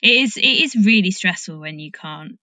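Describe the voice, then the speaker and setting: A woman hosting an interview in casual chat, informal and expressive as she reacts and shares her own anecdotes. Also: fairly low pitch, moderate pace, dry recording. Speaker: podcast host, interviewer.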